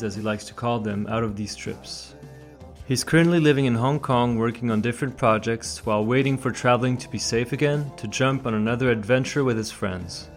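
A man hosts a podcast, reading his intro from a script and then asking questions in a halting way, with many fillers. His pitch is ordinary. Faint music plays softly behind him. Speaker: podcast host.

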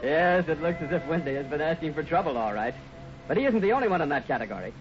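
A man's voice: a little high, expressive, fast. A man with an American accent giving scripted narration as announcer of a 1950s radio western. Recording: muffled old radio recording. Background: music.

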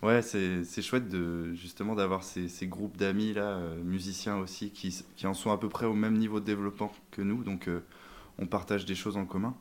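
A young man speaks in a strikingly deep voice, pausing often and restarting his sentences. He talks casually in an interview about his band and his instrument. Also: steady tone. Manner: hesitant, many fillers and restarts. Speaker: band member, sousaphone player. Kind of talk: interview conversation.

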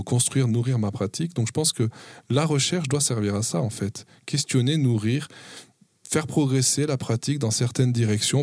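Young male researcher, thoughtful, middle-pitched voice, dry close recording. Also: no echo. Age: young adult. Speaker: occupational therapist and researcher. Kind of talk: interview answers.

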